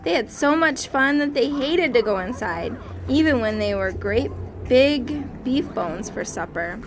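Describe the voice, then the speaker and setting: Slow, higher-voiced woman reading a storybook. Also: slight background noise. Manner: expressive, pausing to ask questions. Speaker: teacher reading aloud to a class. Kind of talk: storybook read-aloud for young children.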